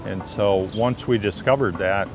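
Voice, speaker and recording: A man's voice: fairly low pitch, a little slow. A man working as an energy auditor, telling anecdotes in an interview. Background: clear background music.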